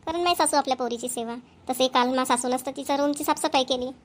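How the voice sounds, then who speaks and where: A woman's voice: high voice, steady tone, rapid-fire, lightly acted. A woman playing an overworked, plaintive village housewife in a family cartoon, with a rural Varhadi Marathi accent. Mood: complaining. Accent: Maharashtrian, rural Varhadi Marathi.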